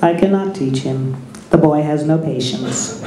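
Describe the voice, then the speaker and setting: A storyteller voicing a tiny, ancient, wise creature master, speaking slowly and deliberately in a low voice, in a roomy-sounding space. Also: a loud crowd, lightly acted. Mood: stern.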